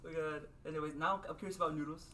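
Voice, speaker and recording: A man's voice: on the high side, steady tone, rapid-fire, a loud crowd. A man in his twenties chatting casually on a podcast, rambling with many restarts. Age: 20s. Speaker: esports team member. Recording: echoey room, mic far off.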